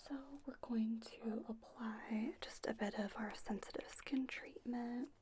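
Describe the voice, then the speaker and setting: A woman whispering breathily in an ASMR spa roleplay, gently giving reassuring step-by-step instructions. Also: a little high, steady tone, unhurried, heavy background noise. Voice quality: breathy, mostly unvoiced whisper. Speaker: ASMR creator playing a spa owner teaching facials.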